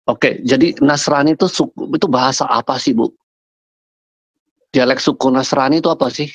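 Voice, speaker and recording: A man's voice: mid-range voice, fairly even intonation, normal speed, no room sound. A man on a video call, patiently questioning a woman in a casual debate and often addressing her politely. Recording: dull lo-fi call audio.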